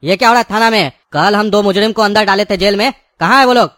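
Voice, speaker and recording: A performer voicing a gruff male cartoon police inspector who berates in an exasperated manner. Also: medium pitch, quick pace, fairly bright tone, dry recording, studio quality, lightly acted. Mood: baffled, angry. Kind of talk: comic cartoon dialogue.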